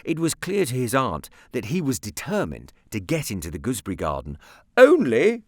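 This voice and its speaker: British male audiobook narrator: lowish voice, hugely varied intonation, wry measured delivery, close dry sound. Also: sing-song, breathy edge, no room sound. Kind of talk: expressive scripted story narration.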